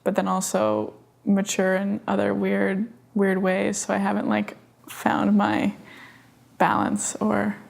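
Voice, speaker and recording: A woman's voice: medium pitch, vocal fry. A woman in her mid twenties speaking hesitantly in a documentary interview, searching for words. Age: mid 20s. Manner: hesitant, halting.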